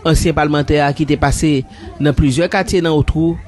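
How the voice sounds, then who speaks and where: A man narrating a news report in a steady reporting delivery. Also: on the high side, a little fast, slight background noise.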